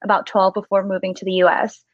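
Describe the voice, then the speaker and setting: A woman's voice: medium pitch, little pitch movement, fast talker, dry recording. Thirties woman from Singapore, friendly filler-heavy chat, dry slightly dull line. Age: 30s. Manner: friendly, uses many fillers like "like" and "um". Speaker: stationery shop owner, guest. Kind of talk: casual interview chat.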